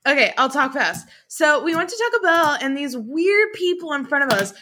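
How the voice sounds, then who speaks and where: A woman co-hosting a casual podcast from home over a call, telling stories in an animated, profane, exasperated way. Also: high-pitched, moderate pace, slight background noise. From Nebraska, USA. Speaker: podcast co-host.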